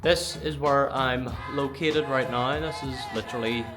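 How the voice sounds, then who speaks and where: A man's voice: mid-range voice, normal speed, music in the background. A man with a Northern Irish accent giving a chatty, rambling vlog tour of an office, filmed on a handheld gimbal camera. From Northern Ireland. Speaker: gaming vlogger. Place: indoor office space.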